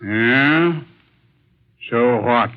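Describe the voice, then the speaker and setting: Brash radio-drama policeman, skeptical and slangy, unhurried drawn-out delivery, dull vintage broadcast sound. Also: mid-range voice. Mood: mocking, skeptical. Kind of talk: 1940s radio drama acting.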